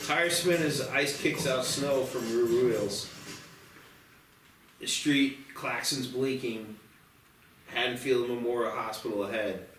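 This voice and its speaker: A man's voice: mid-range voice, slow pace, pauses often, a bit bright, distant mic, a little hiss or hum. A man reading scripted action and stage directions as narrator at a screenplay table read. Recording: roomy, off-mic, music behind.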